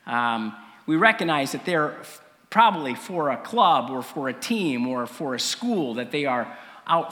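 A male preacher with a slightly rough voice, giving a story-led sermon in a conversational, halting way with frequent pauses and throat clearing. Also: medium pitch, fairly bright tone. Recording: reverberant hall.

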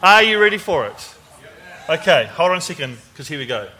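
A man's voice: a little high, very animated, fairly quick, very noisy. A man preaching informally to a church congregation in an enthusiastic, joking, conversational way, often putting questions to the audience. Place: speaking live to a gathering at church.

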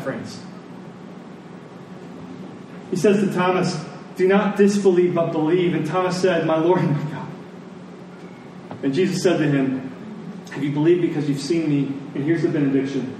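Man preaching in a big echoey room, kinda far from the mic, earnest and emphatic. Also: fairly high pitch. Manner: earnest, emphatic, repeats phrases for weight. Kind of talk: sermon, closing in prayer. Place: large echoing room, church service. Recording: echoey large room, distant, faint noise.

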